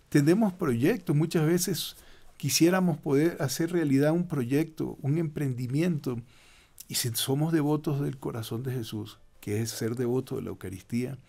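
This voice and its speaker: A man's voice: fairly high pitch, gravelly. An older man from Ecuador, a Jesuit priest, explaining devotions in a radio studio interview with asides to the listeners. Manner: warm preaching tone, rhetorical asides to listeners. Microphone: studio microphone with headphones.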